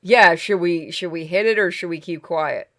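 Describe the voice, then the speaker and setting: A man's voice: high voice, moderate pace, a bit bright. A man co-hosting a game playthrough with casual, reactive, joking and sweary commentary. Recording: game music behind.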